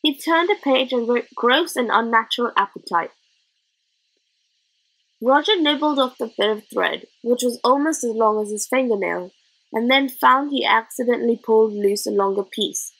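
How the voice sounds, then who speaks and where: A woman reading a children's novel aloud in a gentle, expressive storytelling manner. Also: fairly high pitch, vocal fry, low-quality recording. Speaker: audiobook reader. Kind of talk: storybook narration.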